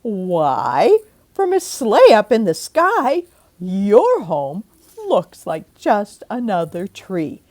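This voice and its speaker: Storybook chipmunk aunt played by an older woman: wise, brisk, cheerfully bossy, loud declaiming with big swoops in pitch. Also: huge pitch swings, exaggerated voice. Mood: firm, reassuring. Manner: loud, emphatic, drawn-out declaiming.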